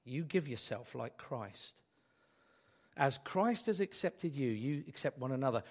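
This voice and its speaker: A man preaching a sermon, hoarse from a cold, asking his congregation rhetorical questions in a conversational way. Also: mid-range voice, animated, unhurried. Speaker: pastor.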